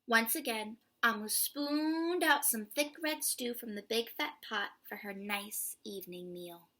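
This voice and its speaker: A woman reads a picture book aloud as a children's storyteller. Her voice is bright and fairly high, and she takes her time. She stretches out words and slips in hushed asides to the listener. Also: measured pace.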